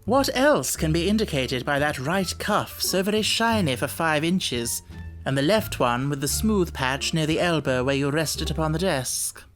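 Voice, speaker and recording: A man voicing a brilliant gentleman detective in an audiobook story, self-assured, urbane and didactic, with wry amusement. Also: on the high side, lively intonation, moderate pace, soft background music. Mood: confident.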